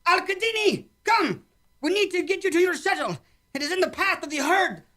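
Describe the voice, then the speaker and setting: An actor in a sci-fi radio play voicing a calm, formal alien hunter from a cave-dwelling village, with measured, stilted phrasing. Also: very high-pitched, faint music behind, full character voice. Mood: urgent.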